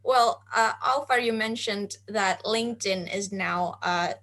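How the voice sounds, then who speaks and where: A woman's voice: mid-range voice, a little slow, fairly bright tone. A woman with a mid-range voice moderates a panel Q&A. She reads out audience questions and hesitates often between phrases. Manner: hesitant, lots of "um"s.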